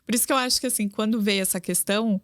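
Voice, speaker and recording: Woman, interview guest, medium pitch, dry sound, chatty. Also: fairly even intonation, very fast, no room sound. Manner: chatty, with frequent tag questions. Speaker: guest, drama YouTuber. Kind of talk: casual conversational interview.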